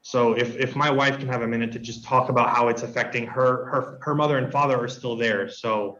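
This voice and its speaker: A male radio guest speaking urgently and emotionally, in halting phrases. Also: mid-range voice, steady tone, pushed and bright, dull audio. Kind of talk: radio interview.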